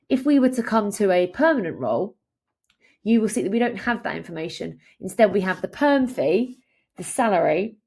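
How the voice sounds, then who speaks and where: A woman in her thirties presenting a software tutorial as a conversational, step-by-step walkthrough. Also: mid-range voice, creaky.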